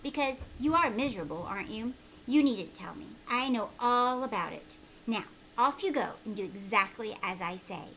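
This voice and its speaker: A woman voicing a peculiar, secretive old man from a storybook, speaking with urgent emphasis and sometimes a hush. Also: fairly high pitch, expressive, moderate pace, hardly any pauses, a little hiss or hum, poor audio. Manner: urgent, emphatic, hushed at times.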